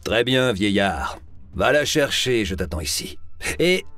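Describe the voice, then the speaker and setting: A man playing the Devil in an audio story, imperious, menacing and quick to fury, with a slow theatrical delivery and a gravelly voice. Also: lowish voice, sing-song, a bit bright, soft background music. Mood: cold menace. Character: menacing, furious male Devil, imperious and commanding.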